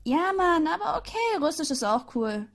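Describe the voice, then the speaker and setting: A woman voicing a brash, excitable teenage boy from Australia who talks loudly in slang. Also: sits high, normal speed, voice pushed up. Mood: excited.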